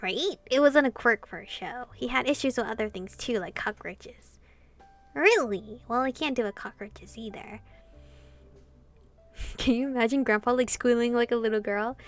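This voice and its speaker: A woman's voice: on the high side, moderate pace, faint music behind. A woman reading a visual novel aloud expressively and commenting casually as she plays. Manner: animated reading, reacting informally to the story.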